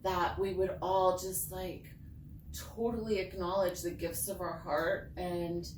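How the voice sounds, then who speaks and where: Slow-speaking expressive woman in a roomy space. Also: lowish voice. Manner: earnest, expressive, halting in thought. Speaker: fairy tale writer and storyteller. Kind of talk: heartfelt personal talk, then storybook reading. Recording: roomy, distant mic with background noise.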